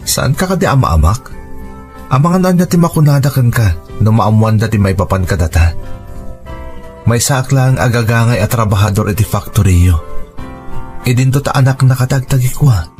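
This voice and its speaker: A man playing a sincere young factory worker in love, earnest and gentle, in a radio romance drama. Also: mid-range voice, lively intonation, moderate pace, long pauses, natural voice. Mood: earnest, tender. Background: background music.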